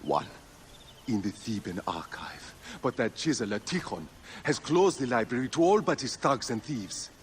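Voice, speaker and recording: An actor playing a fervent, devout priest of a heretical sun cult in a video game, earnest and pleading. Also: male voice, mid-range voice, big pitch swings, normal speed, slight background noise, lightly acted. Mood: devout, measured. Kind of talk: dramatic video game cutscene acting.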